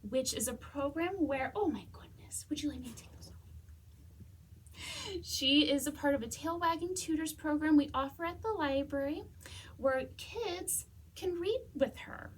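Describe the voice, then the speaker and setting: A woman's voice: high-pitched, moderate pace, slight background noise. A woman hosting a children's storytime, reading aloud expressively and speaking to young listeners. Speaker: library storytime host.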